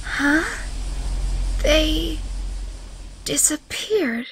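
A woman's voice: high voice, extremely slow, background noise. A woman voicing a timid, despairing bullied schoolgirl in an anime-style dub, faltering as she speaks. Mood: shaken.

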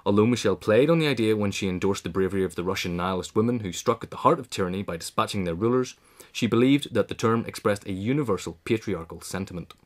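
A man narrates a written biographical essay. His voice is on the low side and he moves through the long sentences at a quick clip. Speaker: reader of an essay.

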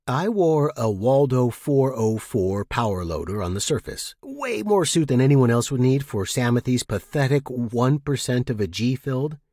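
A man reading first-person fiction as a narrator, in an expressive, dramatic manner. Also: medium pitch, normal speed, few pauses, dry recording, studio quality.

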